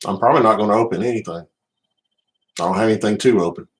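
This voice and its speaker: Middle-aged man, Southern US accent, low voice, relaxed casual livestream host talking to regulars. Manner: unhurried, addresses viewers by name. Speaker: livestream host, card collector.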